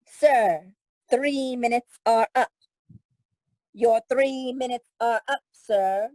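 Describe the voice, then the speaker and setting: A woman's voice: on the high side, slow, a little mellow, dry recording. A woman playing an insistent, impersonal telephone operator in an old-time radio drama, announcing formally.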